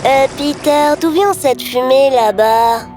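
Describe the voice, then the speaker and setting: A woman voicing an eager, curious little boy in a children's fairy tale, childlike and excitable. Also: sits high, takes it slowly, faint music behind. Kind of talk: children's animated storybook acting.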